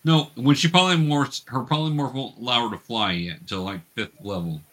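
A man with a bright, forward voice works as game master for a tabletop role-playing game. He narrates what happens to the players and patiently explains how the rules work. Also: medium pitch, brisk.

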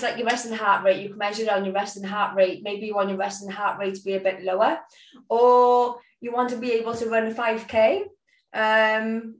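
Giggly high-voiced woman, echoey and off-mic. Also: distant mic. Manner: giggly, rambling with lots of fillers. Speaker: podcast co-host. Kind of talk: casual podcast chat.

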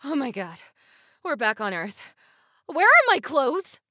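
A woman playing a flustered young woman in a comedic audio drama, speaking haltingly and awkwardly. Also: sits high, wildly swooping pitch, pushed and bright, no room sound, clean studio sound, heavily acted.

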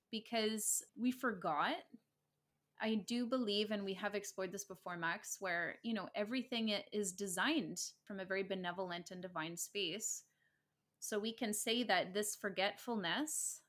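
A woman co-hosting a spiritual podcast, talking in an unhurried, deliberate way. Her tone is earnest and reflective, and she laughs easily with her co-host. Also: medium pitch, fairly bright tone. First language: English. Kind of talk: casual spiritual podcast chat.

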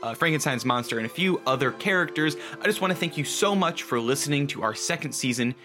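A man's voice: fairly high pitch, expressive, fast talker, pushed and bright, faint music behind. A man speaking as the narrator and presenter of a radio drama. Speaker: writer, producer and narrator.

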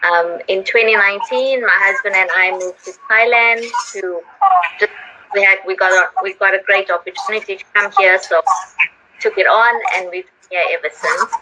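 Relaxed woman from Zimbabwe on a noisy line. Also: mid-range voice, normal speed. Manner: relaxed, with many ums and likes. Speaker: guest, former teacher. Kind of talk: casual chat on a live stream.

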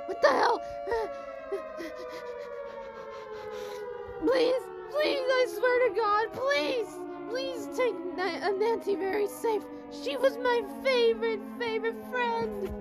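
A woman doing a shrill, tearful, pleading girl. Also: animated, normal speed, hardly any pauses, over loud music. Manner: shrill, pleading, near tears. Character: frantic tearful girl friend, fearful for her friend.

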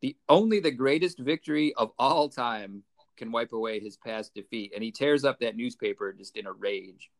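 A man's voice: medium pitch, moderate pace. A man co-hosting a podcast, chatting casually and conversationally in a comic book review style. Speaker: podcast co-host.

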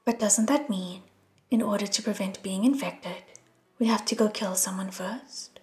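A woman's voice: mid-range voice, normal speed, darkish tone, faint music behind, lightly acted. A woman voicing a frightened man in a crowd, asking anxious questions.

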